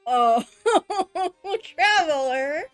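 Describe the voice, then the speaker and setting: A woman's voice: extremely high pitch, expressive, drawn-out words. A woman game streamer giving casual, teasing reactions and wry asides during a playthrough. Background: game music.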